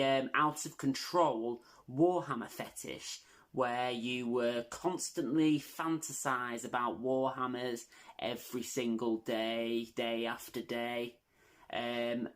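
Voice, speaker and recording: A woman hosting a video channel, making a casual announcement to viewers with tongue-in-cheek, self-deprecating humour. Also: extremely low pitch.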